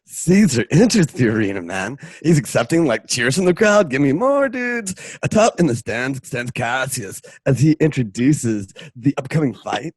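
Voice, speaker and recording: A man's voice: mid-range voice, big pitch swings, normal speed, few pauses, darkish tone, breathy edge, no echo. A man narrating a story in a casual, laid-back slacker way, improvising with lots of filler words. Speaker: narrator of an online radio novela. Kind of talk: improvised casual retelling of a film script.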